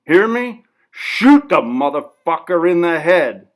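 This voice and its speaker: A man's voice: fairly high pitch, sing-song, takes it slowly, voice pushed up. A man voicing an agitated, paranoid, foul-mouthed male artist in a dramatic short story reading, ranting and insistent. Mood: frantic, commanding.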